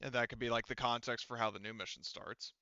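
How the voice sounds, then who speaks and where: A man's voice: medium pitch, fairly even intonation, fast talker, sharp tone, clean tone, no room sound, lo-fi sound. A man acting as game master, talking casually and informally with some swearing at a tabletop game.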